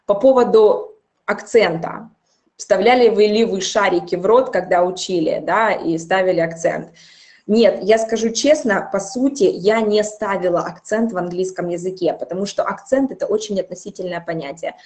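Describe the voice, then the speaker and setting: A woman in her thirties gives a recorded grammar lesson from a small room, picked up by a webcam at her desk. She explains step by step and slows down to repeat example phrases with care. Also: mid-range voice, darkish tone. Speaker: English teacher.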